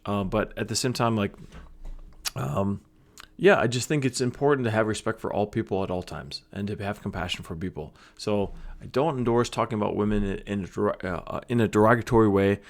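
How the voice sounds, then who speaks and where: A low-voiced man gives casual commentary on a clip. He speaks in a hesitant, measured way, often pausing to find his words. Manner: hesitant, many fillers and restarts. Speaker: reaction video host.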